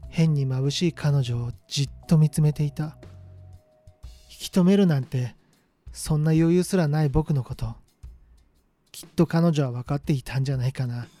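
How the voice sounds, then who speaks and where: A wistful young male college student played by a man speaks in a radio drama, sentimental and reflective. His tone is mellow and subdued, with music playing beneath the voice. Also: fairly high pitch, normal speed, pauses often, no echo, natural voice. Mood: resigned, heartbroken. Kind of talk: radio drama monologue and dialogue.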